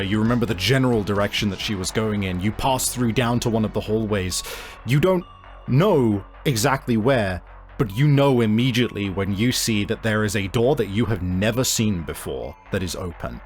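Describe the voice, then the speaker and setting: Guy game master narrating off the cuff, lowish voice, halting, music playing behind. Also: expressive. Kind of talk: improvised narration of a tabletop game.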